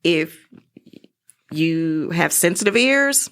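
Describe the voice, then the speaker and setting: A woman's voice: on the low side, lively intonation, takes it slowly, fairly bright tone. A woman chatting casually as a guest in an interview, recorded in a podcast studio.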